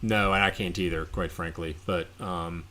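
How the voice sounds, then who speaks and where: A man with a Southern accent chats as a podcast guest, spinning stories in a loose, rambling way. His voice sits fairly low, and he drifts through ums and side remarks as he talks. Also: steady tone, moderate pace, pushed and bright, slight background noise. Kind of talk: casual conversational storytelling.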